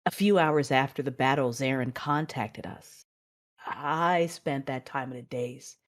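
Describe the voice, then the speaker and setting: Woman acting a very deep-voiced grieving warrior mother. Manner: emotive, measured storytelling with anguished dialogue. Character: grieving female Jedi, mother, narrating her own tragedy. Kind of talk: dramatic first-person audio drama narration.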